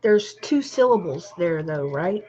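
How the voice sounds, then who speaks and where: A woman hosting a craft chat from home, playful and teasing, and she talks loud. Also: fairly low pitch, lively intonation, measured pace. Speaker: craft channel host. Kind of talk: casual chat while crafting. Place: home craft room, TV in the next room. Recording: dull lo-fi sound with faint noise.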